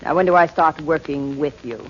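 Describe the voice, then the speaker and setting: A woman's voice: sits low, fairly quick, lightly acted. An actress playing a confident, clever woman amateur sleuth in a 1940s radio drama, poised and coy in manner. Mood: cool, coy. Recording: muffled, noisy 1940s radio recording.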